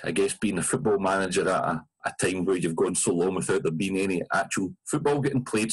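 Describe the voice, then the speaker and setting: A man's voice: low-pitched, husky, poor audio. A Scottish man hosting an online fan Q&A, chatting informally and a little hesitantly on a video call. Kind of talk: conversational moderating.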